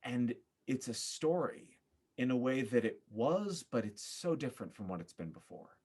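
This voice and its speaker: A man speaking as an interview guest, reflective and earnest, thinking aloud with halting, repeated phrases. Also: mid-range voice, very animated, a little mellow, breathy edge. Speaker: guest, theater director.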